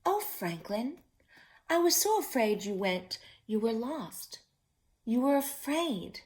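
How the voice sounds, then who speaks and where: A woman's voice: on the high side, big pitch swings, takes it slowly, darkish tone. Storybook mother turtle, played by a woman: caring, soothing, concerned, lilting voice. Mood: relieved, concerned.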